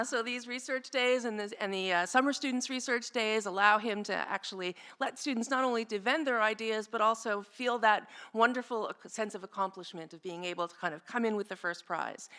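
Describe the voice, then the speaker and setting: A slightly hoarse woman giving an informal tribute speech at an academic event, chatty, with many fillers and asides. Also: mid-range voice, sharp tone. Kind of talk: informal presentation speech with slides.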